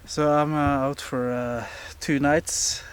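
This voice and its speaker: Slow-talking Norwegian man outdoors. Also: fairly high pitch, clear, resonant tone. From Norway. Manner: relaxed, hesitant, many fillers. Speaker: outdoor vlogger. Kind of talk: casual solo vlog, talking to camera. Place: outdoors at a lakeside camp.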